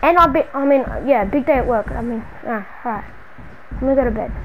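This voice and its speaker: High-pitched, fast-talking young guy played in roleplay. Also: phone-quality sound, soft background music. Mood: whiny, annoyed. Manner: slangy, repetitive, says bro and man. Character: young man fleeing a stalker friend, brash, exasperated. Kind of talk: improvised game roleplay.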